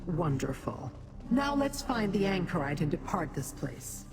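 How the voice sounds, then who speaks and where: A woman playing a brisk, decisive, commanding adventurer companion in a fantasy video game. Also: fairly low pitch, sing-song. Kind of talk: video game character acting. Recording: long reverberant hall effect, game music.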